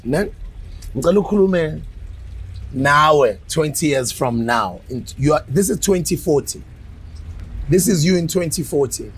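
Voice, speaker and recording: Animated South African man in his forties. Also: on the high side, unhurried, pauses often, breathy edge, low-quality recording. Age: 40s. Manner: animated, playful, laughs often. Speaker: podcast host. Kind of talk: casual interview chat.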